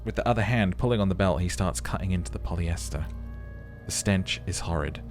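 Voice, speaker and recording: Deep-voiced man narrating a video game. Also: brisk, a little mellow, soft background music. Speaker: let's-play host reading game text. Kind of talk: scripted game narration with casual commentary.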